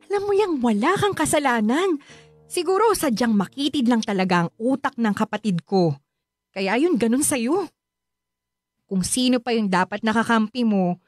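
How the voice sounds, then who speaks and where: An actress playing a caring, reassuring middle-aged Filipino aunt in a radio drama. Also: female voice, on the high side, no room sound.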